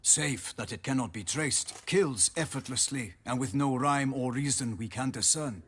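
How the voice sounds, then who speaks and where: Casting: loyal knightly messenger, strongly raspy mid-range voice, solemn and formal, for a fantasy game. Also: male voice, mid-range voice, normal speed, a bit bright. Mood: solemn, earnest. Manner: formal, archaic courtly phrasing, urgent. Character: earnest, courtly male knight serving as duchess's envoy. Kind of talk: video game voice acting.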